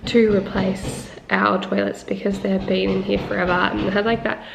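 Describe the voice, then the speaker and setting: A woman's voice: mid-range voice, level tone, moderate pace, gravelly, rough recording. A young Australian woman with a snuffly, blocked nose, chatting casually and laughing while filming herself at arm's length in a bathroom under renovation. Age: young adult. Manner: chatty, laughing, filler-heavy. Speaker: vlogger. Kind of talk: casual vlog chat. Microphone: handheld camera at arm's length.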